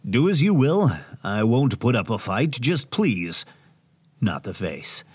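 A self-important radio host with a very animated, mid-pitched voice. He is grandiose and hammy, milking his lines in florid audio-drama style. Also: takes it slowly, breathy edge, exaggerated voice. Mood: mock-tragic. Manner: melodramatic, grandiose. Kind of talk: audio drama acting, radio showman patter.

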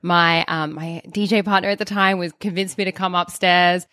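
A woman co-hosting a podcast, telling a personal story in a soft-spoken way. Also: lowish voice, flat delivery, moderate pace, no echo, studio quality. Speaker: podcast co-host.

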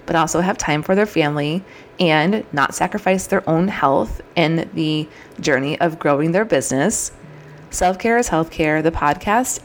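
A woman in her thirties hosting her own podcast, talking in an upbeat, chatty way, her throat a little scratchy and froggy. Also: low voice. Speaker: podcast host. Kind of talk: solo podcast monologue.

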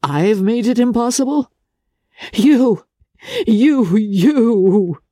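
Lady reading as a wounded society woman in a classic novel, husky, slow n dramatic. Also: a little high, expressive, dark tone, no room sound, clean studio sound, voice pushed up, full character voice. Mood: hurt, indignant. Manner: measured, dramatic, with halting pauses. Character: worldly, wounded aristocratic woman, low-voiced then passionately indignant. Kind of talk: dramatic literary audiobook character reading.

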